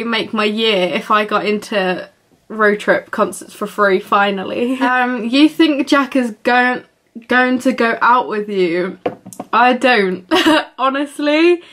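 A woman's voice: a little high, fairly bright tone. A young woman, chatting casually to camera in her bedroom, giggling and rambling with lots of fillers. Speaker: vlogger. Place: small bedroom indoors.

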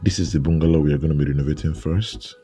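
Nigerian contractor, male, very low and dark voice, easygoing walkthrough narration over faint music. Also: quick pace. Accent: Nigerian English. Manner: relaxed, filler-heavy, pointing things out. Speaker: renovation contractor. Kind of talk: walkthrough narration of a building site.

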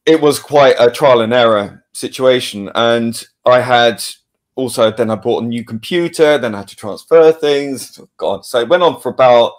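A man's voice: medium pitch, moderate pace. A man chatting casually as a guest editor on a podcast, informal and self-deprecating. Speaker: guest, audio-visual producer and editor. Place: remote online video call.

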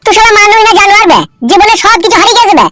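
A man's voice: very high voice, fairly even intonation, very fast, very noisy. A man voicing a homeless, self-pitying, foul-mouthed village man in a comedy cartoon, whining and ranting in a rural West Bengal accent. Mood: aggrieved. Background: music.

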